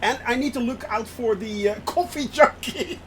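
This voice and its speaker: A man from the Netherlands with a Dutch accent, chatting casually and excitedly as a streamer while he flies a simulator, laughing often. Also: very high-pitched, moderate pace, slight background noise.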